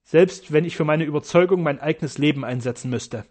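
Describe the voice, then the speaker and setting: A male narrator plays a fearless German doctor in an audiobook. He speaks with formal, heated conviction and accuses his rivals outright. The voice sits a little higher than a typical man's. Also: fairly quick, a little mellow, close to an everyday voice. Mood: firm.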